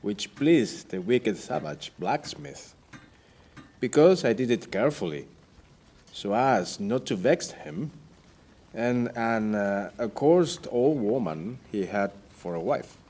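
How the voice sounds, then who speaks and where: A man's voice: fairly low pitch, expressive, slow pace, a little mellow, exaggerated voice. A man playing a weary, plaintive shipwrecked Spanish sea captain in an audio play, speaking in formal, archaic phrases. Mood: rueful recounting. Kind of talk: audio play acting, first-person account.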